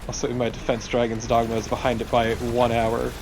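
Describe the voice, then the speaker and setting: A man's voice: mid-range voice, completely flat delivery, brisk. Mid-range male voice, casual gaming chat, informal sweary co-commentator, slight background noise.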